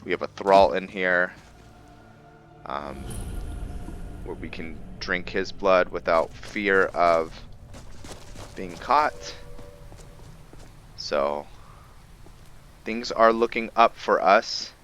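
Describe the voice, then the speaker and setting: A man's voice: deep voice, very slow, pauses often, a bit bright, faint music behind. A man casually commenting while he plays a video game, rambling and thinking aloud with many ums. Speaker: gaming video creator. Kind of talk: casual let's-play commentary.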